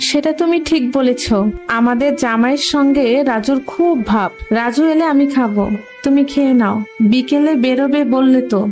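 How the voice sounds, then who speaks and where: A woman voicing a poor, fretful, caring village mother in a cartoon, with an expressive, homely, lamenting manner. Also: high voice, moderate pace, faint music behind, close to an everyday voice. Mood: fussing. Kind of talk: Bengali cartoon voice acting.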